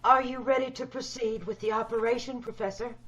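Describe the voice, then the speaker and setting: A woman playing a sinister, hideous schemer in an old-time radio horror play, speaking with measured, coolly polite menace. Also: on the high side, steady tone, a bit bright, natural voice. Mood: smooth, scheming. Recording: dull video-call radio play recording.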